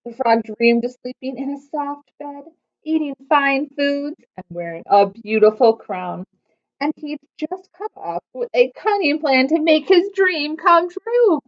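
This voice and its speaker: A woman's voice: high voice, measured pace, highs cut off. A woman hosting a children's storytime, reading aloud expressively and chattily putting questions to young listeners. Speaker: children's library storytime host.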